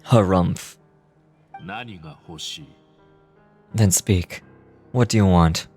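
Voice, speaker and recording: Commanding warlord, low voice, solemn, measured, cold, dramatic game acting. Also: male voice, a little mellow, soft background music. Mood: curt. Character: grave, commanding male warlord, coldly resolute.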